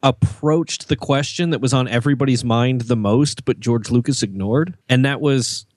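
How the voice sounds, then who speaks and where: A man's voice: medium pitch, moderate pace, darkish tone, no echo, clean studio sound. A man hosting a casual podcast discussion among fans.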